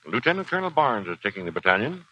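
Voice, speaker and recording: A man's voice: mid-range voice, big pitch swings, very fast, pushed and bright. A man playing a firm but sympathetic colonel in a 1940s radio drama, speaking with clipped authority. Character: firm, sympathetic male Marine colonel, past his youth. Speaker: actor. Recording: dull 1940s radio recording.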